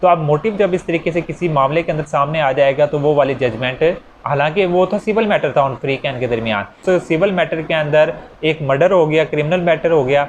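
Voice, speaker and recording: Pakistani guy teaching law, slightly high voice, explains with examples and repeats himself, bit of noise behind. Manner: informal step-by-step explaining, repeats key phrases; mixes in English legal terms. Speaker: law lecturer. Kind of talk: explanatory lecture with worked examples.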